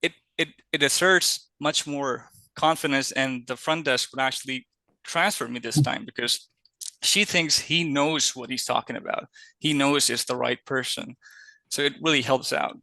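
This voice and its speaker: A man's voice: mid-range voice, moderate pace, vocal fry, sharp tone, dry recording, low-quality recording. A man casually explaining sales calling technique as a team member, stressing his points emphatically. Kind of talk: casual instructional talk.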